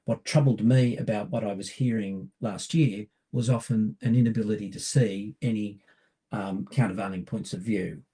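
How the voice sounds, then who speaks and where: A man giving a public lecture, croaky from a cold, measured and often pausing with ums. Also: lowish voice, fairly even intonation, warm tone. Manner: measured, hesitant with many ums. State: hoarse from a cold. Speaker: barrister giving a public talk.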